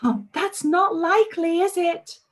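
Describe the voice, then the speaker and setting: A woman's voice: very high voice, fairly even intonation, unhurried. A woman voicing a cheerful, curious aunt in a live-read radio play.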